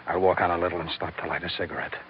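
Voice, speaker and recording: Noisy, dull vintage radio sound carries a man playing a sinister gangster. His voice is very low and his menace is polite and measured, in classic thriller style. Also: flat delivery, lightly acted. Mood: furtive. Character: menacing, coolly polite male gangster with a pistol. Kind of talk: 1940s radio thriller acting.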